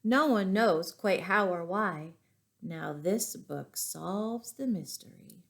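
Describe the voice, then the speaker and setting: A woman reading stories aloud to young children, expressive, pausing to ask them questions. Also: medium pitch, vocal fry. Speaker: children's storytime reader and teacher.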